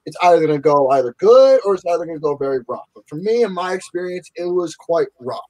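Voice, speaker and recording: A man's voice: a little high, lively intonation, quick pace, warm tone, dry recording, poor audio. A man chatting casually and handing out advice in an emphatic, somewhat preachy way. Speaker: podcast co-host. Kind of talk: casual chat, giving advice.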